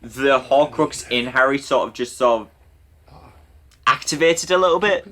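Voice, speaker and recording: A man's voice: mid-range voice, normal speed. A man giving casual, joking and sweary film commentary as co-host of a reaction show. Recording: loud film audio behind the voice. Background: film soundtrack with music.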